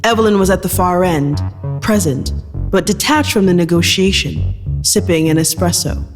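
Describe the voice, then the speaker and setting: A man's voice: sits high, animated, normal speed, creaky. A man narrating scripted dramatic fiction in the third person. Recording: long reverb, guitar music behind.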